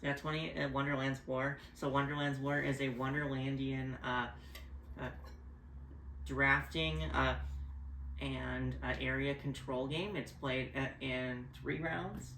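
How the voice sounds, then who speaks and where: A man of middle pitch walks through board game rules in a relaxed, halting way, filling gaps with "uh". The sound is roomy, with a faint background hiss. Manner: hesitant, many "uh" fillers, explains game rules. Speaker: board game reviewer and co-host. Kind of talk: casual chat. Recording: roomy echo.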